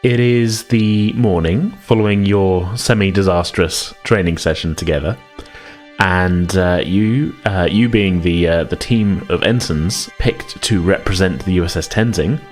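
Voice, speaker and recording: A man's voice: low voice, measured pace. A man acting as game master, casually narrating and guiding a tabletop roleplaying session. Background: background music.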